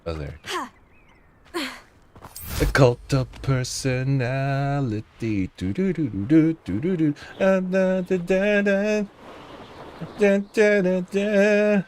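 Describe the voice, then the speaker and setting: A man's voice: fairly high pitch, takes it slowly, a little mellow, slight background noise. A man streaming a video game, casually commenting, joking to himself and singing or humming along. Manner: goofy, sings and hums along, talks to himself.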